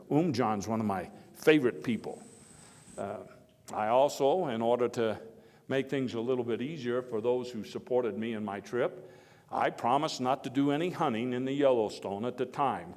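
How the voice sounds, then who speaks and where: A man playing Theodore Roosevelt as a hearty, folksy elder statesman, giving a halting, oratorical first-person speech of reminiscence. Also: medium pitch, a little mellow, close to an everyday voice. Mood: wry, folksy. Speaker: historical reenactor giving a speech. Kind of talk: first-person dramatized historical speech, storytelling. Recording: echoey hall with long reverb.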